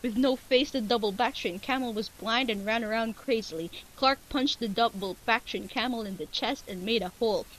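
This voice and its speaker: A woman's voice: on the high side, moderate pace, hardly any pauses, fairly bright tone, poor audio. A woman narrating a fan fiction as a comic dramatic reading, with playful, exaggerated storytelling. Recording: hissy amateur home recording.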